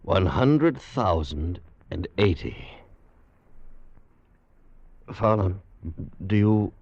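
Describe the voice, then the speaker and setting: An actor playing a bold, wary scientist and inventor, speaking briskly and emphatically in a 1950s radio drama style. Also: male voice, low voice, animated, vocal fry, darkish tone, breathy edge, exaggerated voice. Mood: awed, alert. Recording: muffled vintage radio recording, faint noise.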